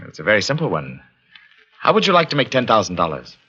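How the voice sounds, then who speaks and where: An actor playing an obsessed, half-mad pianist in a 1940s radio horror drama, with an intense, brooding, theatrical delivery. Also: male voice, fairly low pitch, wildly swooping pitch, fast, pushed and bright, breathy edge, highs cut off, exaggerated voice. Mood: coldly insistent. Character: obsessed, half-mad pianist who lost his hand. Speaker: lead actor and first-person narrator.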